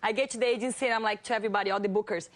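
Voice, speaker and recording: A woman with a Brazilian Portuguese accent and Portuguese as her first language, a talk show guest telling stories in a giggly, animated way. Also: mid-range voice, rapid-fire, very noisy. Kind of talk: casual chat.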